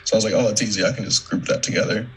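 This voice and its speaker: A young man, an artist, chatting casually in a halting, informal, modest way over a video call. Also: fairly low pitch, fairly even intonation, husky, low-quality recording. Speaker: artist presenting work. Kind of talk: casual chat.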